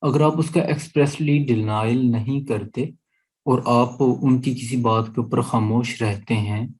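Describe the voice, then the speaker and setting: A man with a Pakistani accent teaching law in an online lecture, reading out statute and explaining it deliberately, often checking that listeners follow. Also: mid-range voice, fairly even intonation, a little mellow, breathy edge, poor audio.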